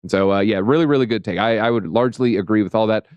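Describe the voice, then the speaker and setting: A man's voice: lowish voice, rapid-fire, darkish tone, clean tone, no echo, muffled recording. Playful chatty man hosting a crypto show. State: slightly hoarse. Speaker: host. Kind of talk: casual crypto market chat.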